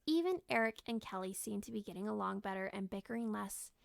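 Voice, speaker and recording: A woman reading a children's story aloud in an expressive, animated storytelling manner. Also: mid-range voice, quick pace, creaky, dry recording, clean studio sound. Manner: animated, acts out character lines. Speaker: storybook reader.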